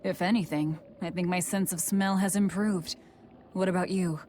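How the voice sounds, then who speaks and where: An actress playing a cold, guarded young female demon fighter with a curt, deadpan manner in a video game. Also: fairly low pitch, moderate pace, a little hiss or hum, lightly acted. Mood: dismissive. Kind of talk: English video game voice acting.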